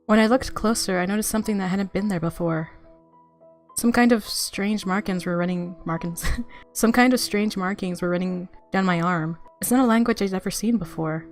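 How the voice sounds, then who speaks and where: A woman's voice: mid-range voice, moderate pace. A woman hosting a gaming video, reading visual novel narration aloud expressively and reacting casually. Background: game music.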